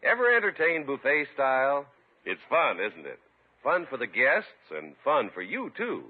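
A man's voice: mid-range voice, wildly swooping pitch, measured pace, husky. A male announcer reading a 1950s radio commercial with polished, persuasive salesmanship. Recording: tinny, narrow-band old radio recording.

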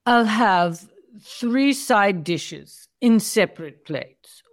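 A woman's voice: mid-range voice, expressive, extremely slow. A woman playing a disdainful, fussy female cat in an audio play, haughty and precise.

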